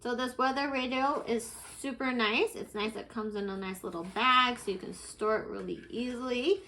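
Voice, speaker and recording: Higher-pitched woman demonstrating a product. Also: normal speed, pushed and bright, slight background noise, rough recording. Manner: plain, step-by-step explaining. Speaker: product reviewer.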